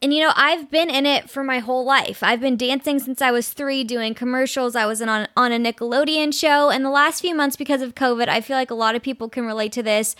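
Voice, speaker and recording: A woman's voice: sits high, brisk, few pauses, a bit bright, no echo, studio quality. A young woman in her twenties hosting a casual solo podcast, chatty and dramatic, often laughing as she talks. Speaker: podcast host.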